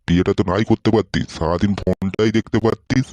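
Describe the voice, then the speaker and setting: A grumpy older cartoon man played by a male actor, very low and quick-talking. He scolds and curses bluntly. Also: fairly even intonation, a little mellow, no echo, close to an everyday voice. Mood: nagging, angry. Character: bad-tempered, foul-mouthed older man, scolding bully. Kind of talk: comedy cartoon dialogue.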